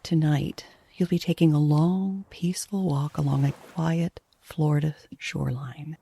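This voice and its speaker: A woman with a soft, breathy, hushed voice gently and soothingly narrating a guided sleep meditation, unhurried throughout. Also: sits low.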